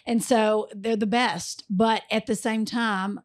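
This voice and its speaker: A woman comedian with a naturally raspy voice, joking and telling stories in casual podcast chat, recorded on a studio boom mic. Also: takes it slowly. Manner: animated, joking storyteller. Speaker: comedian guest. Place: podcast studio with shelves and couch. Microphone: studio mic on boom arm.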